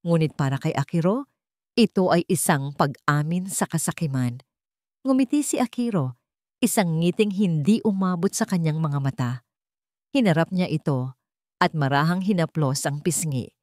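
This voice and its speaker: A woman tells a scripted romantic drama. Her voice sits a little low for a woman, and the sound is dry and close, with no room around it. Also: moderate pace, dark tone, dry recording. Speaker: storyteller.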